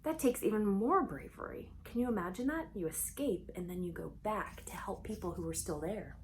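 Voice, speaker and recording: Female storyteller, medium pitch, expressive read-aloud for kids, chatty asides, questions to listeners, faint background noise. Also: moderate pace. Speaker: children's storybook reader.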